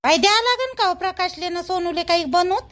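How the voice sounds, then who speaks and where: A woman's voice: very high-pitched, lively intonation, a little fast, a bit bright. A woman voicing a chatty, nosy but helpful older village housewife in a cartoon, speaking colloquial Vidarbha Marathi full of exclamations. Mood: helpful, coaxing. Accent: Varhadi Marathi, Vidarbha. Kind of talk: rural family cartoon dialogue.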